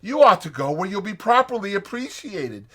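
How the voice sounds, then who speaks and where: A man's voice: high-pitched, very animated, moderate pace, pushed and bright, pitched above the performer's own voice, full character voice. A man voicing a naive, fussy male mole from a storybook, wordy and exclamatory in his delivery. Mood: amazed, admiring. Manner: wordy, indignant or gushing, exclamatory. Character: naive, fussy male mole, pettish yet easily amazed.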